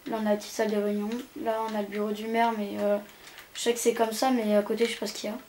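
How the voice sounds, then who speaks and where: A woman's voice: medium pitch, flat delivery, racing, darkish tone. A young woman giving a casual, rambling, hesitant commentary as she tours a video game. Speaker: gaming channel host. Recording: amateur home recording with background noise.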